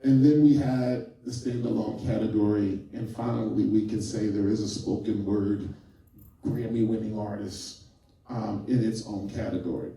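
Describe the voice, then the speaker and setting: A man's voice: lowish voice, steady tone, normal speed, warm tone. A man hosting a panel discussion, talking casually and hesitantly with many fillers. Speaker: host. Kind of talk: panel moderation. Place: event room before a live audience. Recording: echoey hall, mic far off.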